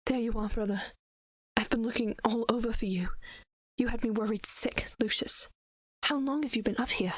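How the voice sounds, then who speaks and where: A woman playing a caring, protective princess and older sister, with a measured, formal, soothing manner in an audio drama. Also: on the high side, no echo, natural voice. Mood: relieved concern. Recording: music bed behind the voice.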